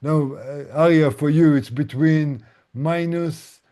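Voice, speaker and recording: A mellow-voiced man leads a relaxed group session online. He takes his time, speaking slowly and gently as he explains things like a coach. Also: a little high. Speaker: host and tinnitus relief coach.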